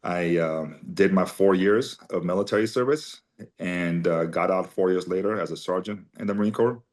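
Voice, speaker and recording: Very low-voiced man telling stories. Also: moderate pace, darkish tone. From Armenia. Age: 40s. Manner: chatty anecdotal storyteller, many fillers. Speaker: guest, company CEO. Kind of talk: conversational storytelling interview.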